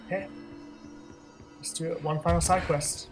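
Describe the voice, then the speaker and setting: A let's-play host giving casual gameplay commentary in a relaxed, rambling way with frequent laughs. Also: mid-range voice, moderate pace, music in the background.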